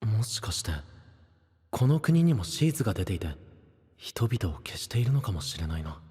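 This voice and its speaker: A man voicing a sensible, gentle young traveler, cautious and mildly exasperated, in an animated audio drama. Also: on the low side, normal speed, breathy edge, no echo, heavily acted. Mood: uneasy. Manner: soft-hearted, cautious, questioning. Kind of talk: animated Japanese voice drama acting.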